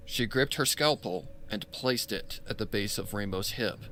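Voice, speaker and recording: A man's voice: lowish voice, normal speed, pushed and bright. A man giving a dramatic narration of a horror story. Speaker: audiobook narrator.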